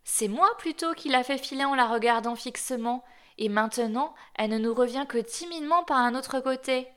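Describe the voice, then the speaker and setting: A woman's voice: high voice, normal speed, fairly bright tone, clear, resonant tone, voice pushed up. A woman voicing a naive, wondering snowman. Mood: musing. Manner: wondering, innocent questioning. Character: naive newly built male snowman, curious.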